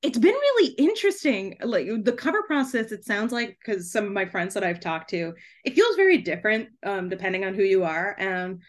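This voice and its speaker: A woman's voice: mid-range voice, sing-song, brisk, few pauses. Chatty, giggly woman in casual conversation. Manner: chatty, giggly, effusive, frequent "like" and "kind of". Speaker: guest author and podcast host. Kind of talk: casual podcast interview chat.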